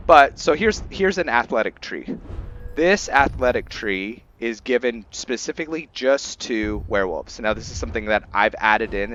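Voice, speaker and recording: A man hosting a gaming video, giving casual, halting commentary in an informal, rambling way. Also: mid-range voice, a bit bright, dull audio, slight background noise. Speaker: gaming channel host. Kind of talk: casual gameplay commentary introducing a roleplay character.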